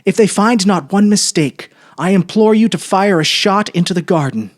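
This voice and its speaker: A lonely imprisoned scholar from a classic tale, performed by a male reader. His voice rises high with exalted fervour. He declaims with passion, as though reading out his own letter. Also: animated, normal speed. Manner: impassioned, declamatory.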